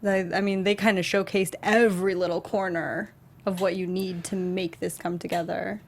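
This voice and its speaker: A woman's voice: on the low side, level tone, normal speed. A woman co-hosting a talk show, discussing things in an informal, thoughtful, conversational way. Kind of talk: casual video game and film discussion.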